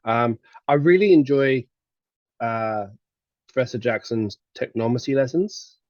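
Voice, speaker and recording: An Australian man co-hosting a fan podcast, chatting casually about books in a halting, hesitant way. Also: mid-range voice, lively intonation, darkish tone, no room sound, muffled recording. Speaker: podcast co-host. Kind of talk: casual book chat.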